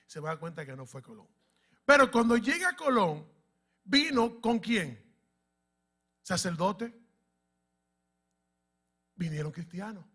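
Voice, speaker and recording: Emphatic high-voiced pastor. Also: male voice, sharp tone. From Dominican Republic and Puerto Rico. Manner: emphatic, asks the congregation questions. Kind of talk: sermon teaching to a congregation.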